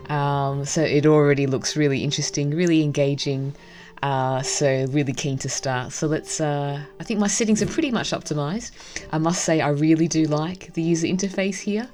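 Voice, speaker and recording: A woman's voice: deep voice, a little mellow. A woman hosting a gaming video, casually commenting and reading the story text aloud. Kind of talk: casual game commentary and read-aloud narration.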